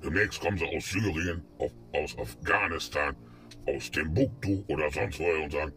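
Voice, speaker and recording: A man's voice: a bass voice, moderate pace, a bit bright. A man complaining and agreeing in a comedy sketch. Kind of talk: ranting comedy dialogue. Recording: faint music behind voice. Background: music.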